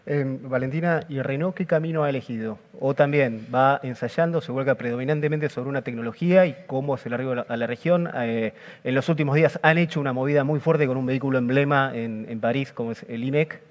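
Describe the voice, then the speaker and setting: A man's voice: medium pitch, quick pace. A man moderates a panel from a studio set. His voice sits mid-range, and he explains topics with hesitant fillers. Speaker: interview host.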